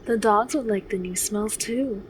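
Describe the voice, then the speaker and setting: A woman playing a fond, gently teasing frontier mother in an audio drama. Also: mid-range voice, measured pace, a little hiss or hum, natural voice.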